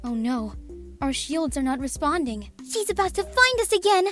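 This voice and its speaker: A woman voicing a gentle, thoughtful female alien crewmate with careful, precise phrasing, in a fan comic dub. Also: high voice, sing-song, normal speed, soft background music. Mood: concerned.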